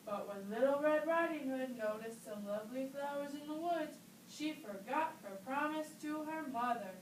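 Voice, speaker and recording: High-voiced woman narrating a children's story. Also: moderate pace. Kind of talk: scripted children's puppet show narration. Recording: distant roomy recording with faint noise.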